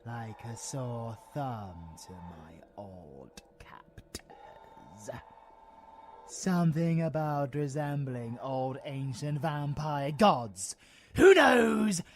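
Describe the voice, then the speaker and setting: Slow, gravelly British vampire villain. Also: male voice, mid-range voice, expressive. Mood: amused, dismissive. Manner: theatrical, mocking, taunting, breaking into singsong. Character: sadistic grey-skinned ancient vampire monster, male, gleefully predatory. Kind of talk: audio roleplay villain acting.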